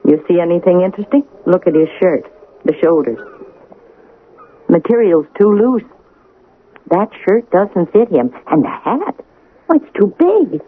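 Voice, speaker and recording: An actress playing a chatty, nosy, suspicious wife on a cruise in a radio drama. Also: female voice, lowish voice, very animated, measured pace, pauses often, breathy edge, exaggerated voice. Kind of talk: 1980 radio drama acting. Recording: tinny, noisy old radio broadcast.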